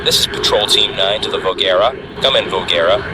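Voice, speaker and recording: Man plays clipped, loud warship commander, lowish voice, engine hum. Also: brisk, close to an everyday voice. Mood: urgent. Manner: loud, clipped radio calls. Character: male patrol commander on a warship.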